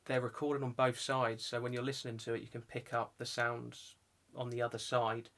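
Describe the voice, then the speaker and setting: A man's voice: mid-range voice, completely flat delivery, moderate pace, fairly bright tone. A young man presenting a casual vlog in an informal, chatty way. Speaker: video channel host. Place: indoor music studio.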